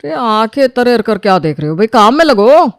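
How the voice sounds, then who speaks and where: A woman's voice: on the high side, sing-song, fairly quick, dry recording, studio quality. A woman voicing a bossy sarcastic husband. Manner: scolding, sarcastic. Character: bossy scolding young husband, a man. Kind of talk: animated story dub.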